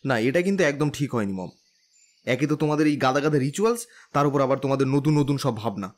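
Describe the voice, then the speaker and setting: Animated, teasing young man acted out. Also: medium pitch, fast talker, natural voice. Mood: sulky. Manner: teasing, lively. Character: smug, teasing young man, cousin and bridegroom. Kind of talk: Bengali audio story acting.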